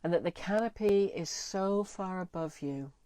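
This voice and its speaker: A woman leading a guided meditation in a soothing, deliberate way, pausing between short phrases. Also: fairly low pitch, dark tone, dry recording. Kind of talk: guided meditation, gentle spoken visualisation.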